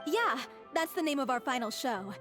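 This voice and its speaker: A woman's voice: sits high, animated, moderate pace, over music. An actress voicing an earnest young woman of a theater troupe in a game. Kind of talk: game cutscene voice acting.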